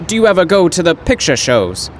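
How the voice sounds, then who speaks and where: Earnest, flustered professor, swooping voice. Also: male voice, high-pitched, big pitch swings, fairly quick, a little hiss or hum. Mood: nervous, eager. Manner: flustered, florid old-fashioned phrasing. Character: earnest, awkward poetry professor, romantic and wordy. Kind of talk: old-time radio drama acting.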